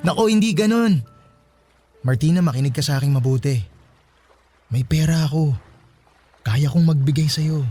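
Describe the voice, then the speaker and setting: A Filipino man playing a smooth, entitled young rich suitor in a radio drama, talking in a coaxing, insinuating way. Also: fairly high pitch, unhurried, pauses often, mellow, dry recording, faint music behind.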